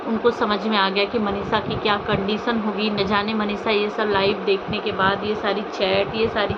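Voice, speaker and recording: Indignant woman vlogger over loud background noise. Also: medium pitch, little pitch movement, moderate pace. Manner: indignant, reproachful, rhetorical questions. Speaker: social media vlogger commenting on online drama. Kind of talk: unscripted opinion commentary on a personal scandal. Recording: muffled, lo-fi, loud vehicle and ambient noise.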